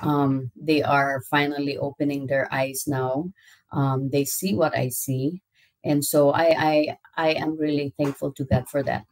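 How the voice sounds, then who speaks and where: A woman with a low voice and a Visayan accent talks casually on a livestream. She is friendly and chatty, and greets her viewers one by one while switching between English and Filipino. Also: flat delivery, a little slow, a little mellow. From Visayas, Philippines. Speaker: livestream host.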